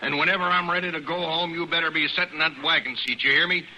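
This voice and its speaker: Blustering cattleman with a forceful bark. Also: on the high side, steady tone, quick pace. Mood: angry, bossy. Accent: rural American West. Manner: blustering, threatening, folksy. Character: belligerent, bullying cattleman father with a grudge. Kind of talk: old-time radio western acting. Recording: noisy old radio recording.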